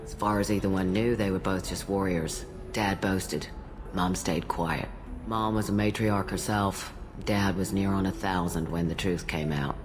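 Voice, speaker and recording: An actress playing a blunt, world-weary old alien matriarch bartender in a video game, with dry, sardonic delivery. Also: female voice, rumbling low, steady tone, measured pace, noisy background. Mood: matter-of-fact. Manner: dry, sardonic, matter-of-fact. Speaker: bartender character. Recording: loud music behind the voice.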